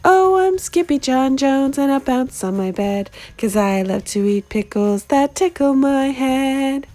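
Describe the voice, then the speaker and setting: A woman's voice: high-pitched, animated, measured pace, mellow, voice pushed up. A woman voicing a mischievous young boy cat who thinks he is a Chihuahua, speaking with a put-on Spanish accent in a playful sing-song.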